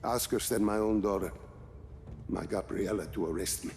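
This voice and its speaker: Man acting older male scientist, measured, weary, proud, video game drama, faint music. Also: on the low side, a little mellow, natural voice. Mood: bitter, weary. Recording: music behind.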